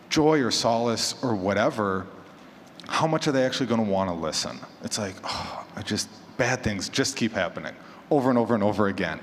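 A man speaking informally on a conference panel about his craft, conversational, posing rhetorical questions and voicing imagined quotes. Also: on the low side. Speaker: panelist, fiction podcaster. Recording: reverberant hall, clear background noise.

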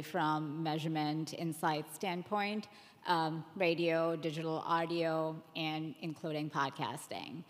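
A woman with a low-pitched voice introduces herself as a panelist at a live session. The room gives her voice a long reverb. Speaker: panelist, analytics executive. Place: on stage at a conference session. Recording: reverberant conference room sound.

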